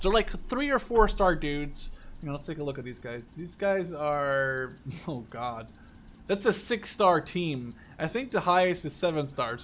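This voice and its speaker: Young man, slightly high voice, casual game commentary, rambling, thinking aloud, some background noise. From Netherlands. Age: 20s. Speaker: gaming YouTuber.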